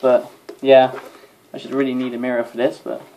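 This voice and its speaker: A man's voice: mid-range voice, monotone, normal speed, dark tone, rough recording. A young man giving a casual, rambling toy demonstration to camera, sounding tired, recorded on a mobile in a bedroom. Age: young adult. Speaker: vlogger. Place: small bedroom. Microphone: phone or camera at arm's length. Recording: faint noise, clicks, mobile recording.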